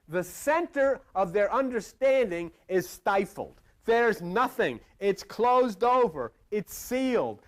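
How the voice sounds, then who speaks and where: A man giving an esoteric sermon-style lecture as a spiritual teacher, emphatic, repeating phrases and asking rhetorical questions. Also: sits high.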